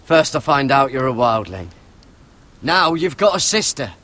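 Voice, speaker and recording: An actor playing a wary young runaway Night's Watch man in a game drama, defensive and husky. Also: mid-range voice, sing-song, measured pace, sharp tone, full character voice. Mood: hurt, insistent. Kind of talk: video game drama acting.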